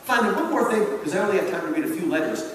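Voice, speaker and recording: A man giving an animated public lecture as a college teacher, with an emphatic, theatrical storytelling manner. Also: high voice, rapid-fire. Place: large indoor hall at a public event. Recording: very echoey hall from far off, faint noise.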